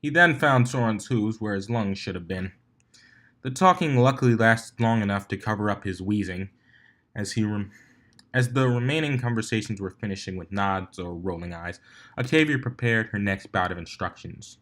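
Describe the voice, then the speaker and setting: A man's voice: fairly low pitch, moderate pace, fairly bright tone. A man reading a fan fiction story aloud as its narrator, in scripted storybook style.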